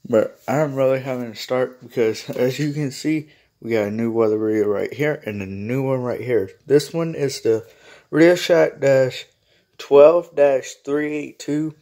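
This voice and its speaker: A man chatting casually and haltingly as he films a home vlog. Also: mid-range voice, mellow. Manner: halting, informal. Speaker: video creator showing a weather radio collection. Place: small room at home, indoors. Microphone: handheld phone or camera held close.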